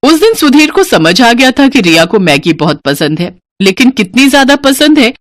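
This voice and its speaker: A woman narrating a scripted story in an animated storyteller's style. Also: a little high, moderate pace, dry recording, studio quality.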